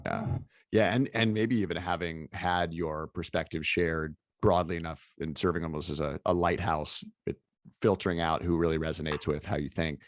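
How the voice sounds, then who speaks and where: A man's voice: low voice, steady tone, moderate pace, dry recording, studio quality. A man interviewing in a casual, rambling conversational style, circling his way toward each point. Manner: rambling, hedging phrasing with frequent "like". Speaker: interviewer, event host.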